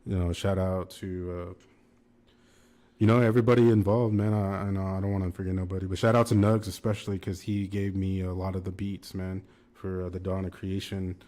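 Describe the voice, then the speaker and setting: Deep-voiced laid-back young man. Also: little pitch movement, fairly quick, mellow. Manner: laid-back, slangy, frequent "man" and "you know". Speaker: guest rapper. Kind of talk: casual podcast chat.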